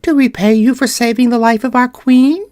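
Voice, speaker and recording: A man doing a high, earnest big mouse. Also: moderate pace, darkish tone, no room sound, clean studio sound.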